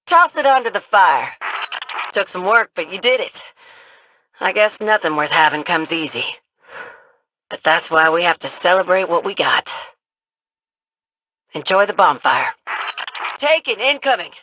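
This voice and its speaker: A woman's voice: fairly low pitch, sing-song, a little slow, pauses often, pushed and bright, dry recording, full character voice. An actress playing a folksy, upbeat woman who guides fighters in a video game. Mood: reflective, warm. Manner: folksy, informal drawl. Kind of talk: video game mission voice lines.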